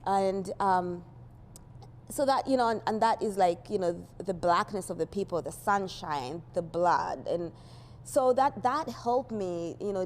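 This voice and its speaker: A woman's voice: medium pitch, a little mellow, a little hiss or hum. A woman born in Uganda, talking casually about her artwork in a rambling way, with many "you know" fillers and easy laughs. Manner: laughs easily. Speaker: artist presenting her work.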